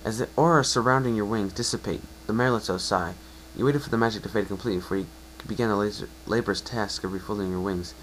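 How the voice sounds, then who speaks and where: A man's voice: lowish voice, slight background noise, poor audio. A man narrating a fan story as an amateur reader, often stumbling and correcting himself. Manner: halting, self-correcting delivery.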